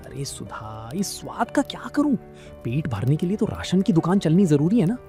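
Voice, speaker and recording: A man plays a downcast village grocer and husband in an animated story. His fairly high voice rises and falls freely in weary complaint. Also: normal speed, faint music behind. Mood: dejected. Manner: plaintive, weary.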